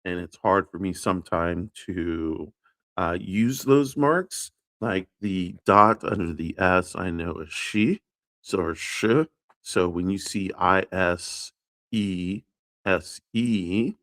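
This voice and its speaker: A man hosting an early-morning livestream, talking in a halting way full of fillers and restarts, unsure of his pronunciation. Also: low-pitched, fairly bright tone, no room sound, studio quality. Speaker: livestream host. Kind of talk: casual livestream chat.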